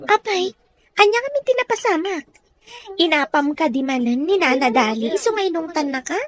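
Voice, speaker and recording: Woman playing a high, animated concerned girlfriend. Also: a little fast. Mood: concerned, questioning. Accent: Ilocano. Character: concerned, coaxing young female friend of the daughter. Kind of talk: comedy-drama podcast acting.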